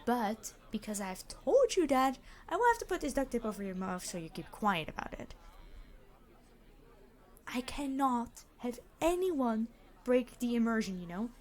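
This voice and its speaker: A woman's voice: a little high, sing-song, unhurried. A woman playing a reluctantly decent supervillain in an audio roleplay, speaking in a dry, formal, bossy, matter-of-fact way. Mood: calm, businesslike. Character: famous immortal supervillain, exasperated, reluctantly decent. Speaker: robbery leader.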